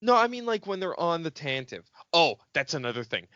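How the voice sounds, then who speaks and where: A podcast co-host with a very lively, swooping delivery and a mid-range pitch. The talk is casual, sarcastic and full of swearing and mock quotes. The sound is slightly dull and muffled. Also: fairly quick. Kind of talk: casual comedic movie discussion. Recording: muffled, roomy home recording.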